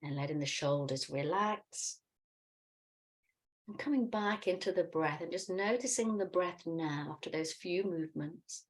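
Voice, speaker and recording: Meditation teacher: middle-aged woman, low register, calm and soothing, unhurried guided instruction.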